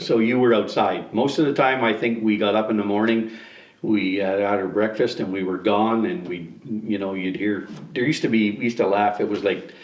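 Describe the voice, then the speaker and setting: Nostalgic man in his sixties, low voice. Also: dull audio. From Ontario, Canada. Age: 60s. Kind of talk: documentary interview. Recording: roomy sound from a distant mic.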